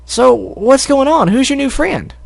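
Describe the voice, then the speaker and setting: A man's voice: extremely high pitch, expressive, unhurried, vocal fry, dry recording, voice pushed up, heavily acted. A man voicing an enthusiastic farm filly with a put-on southern drawl. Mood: friendly.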